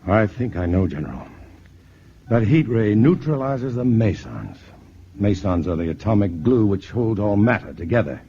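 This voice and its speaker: A muffled, faintly hissy vintage radio broadcast carries a reassuring man of science. His voice sits lowish and moves expressively through the lines. He is steady and earnest in the manner of 1950s radio drama. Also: moderate pace. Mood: grave, urgent. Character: steady, reassuring male scientist on the run from invaders. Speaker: actor.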